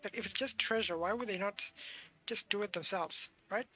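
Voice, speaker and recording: A game streamer giving casual live commentary, thinking aloud in halting fragments over game music. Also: medium pitch, sharp tone.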